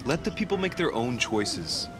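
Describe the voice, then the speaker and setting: An actor playing a guarded young gentleman investigator in a video game, with a measured, dry manner. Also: mid-range voice, very animated, lightly acted. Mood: guarded, polite. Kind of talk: video game dialogue and inner monologue. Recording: music behind the voice.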